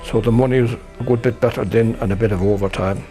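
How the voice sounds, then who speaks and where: A man's voice: medium pitch, steady tone, fast talker, mellow, faint music behind. A man in his eighties with a Sligo Irish accent, recalling his working life in a plain, homely way. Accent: Irish, County Sligo. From Ireland. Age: 80s. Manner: plain, homely storytelling with folksy phrases. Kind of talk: oral history recollection.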